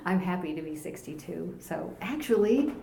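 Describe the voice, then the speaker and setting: A woman in her sixties, a guest on an interview show, sharing her personal story in a gentle, reflective way and laughing easily. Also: lowish voice, animated, normal speed, gravelly, slight background noise. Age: 60s. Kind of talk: personal testimony in conversation. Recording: echoey room.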